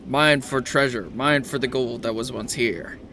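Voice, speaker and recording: A man's voice: medium pitch, moderate pace, pushed and bright, slight background noise. A man voicing a bossy kobold overseer in a tabletop game, giving commanding, threatening orders to miners. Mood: commanding, menacing.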